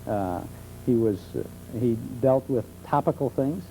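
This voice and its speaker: A middle-aged man being interviewed as a talk show guest, explaining things conversationally with hesitant pauses. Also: medium pitch, expressive, dark tone. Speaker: talk show guest, old-time radio enthusiast. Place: television studio. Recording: muffled 1970s television tape with hum.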